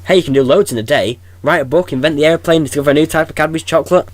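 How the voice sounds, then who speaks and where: A man's voice: a little high, very fast, slight background noise, lightly acted. A man playing a flippant, eccentric time-travelling hero in an audio drama, glib and insistent.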